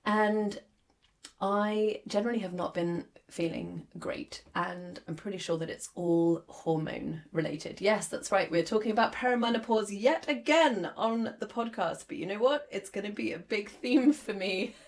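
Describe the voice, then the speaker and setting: A woman in her forties hosting a podcast, hoarse as her lost voice comes back, talking candidly and reflectively with many fillers. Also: medium pitch, unhurried. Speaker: podcast host. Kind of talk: solo podcast monologue.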